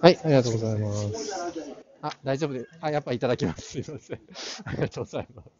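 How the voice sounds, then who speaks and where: A man's voice: medium pitch, expressive, very fast. A young man giving casual, offhand vlog commentary as he walks around a large indoor hall, recorded at arm's length. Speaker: vlogger. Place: large busy art fair hall, indoors. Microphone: wide-angle camera held at arm's length. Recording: dull lo-fi sound, busy crowd. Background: crowd chatter.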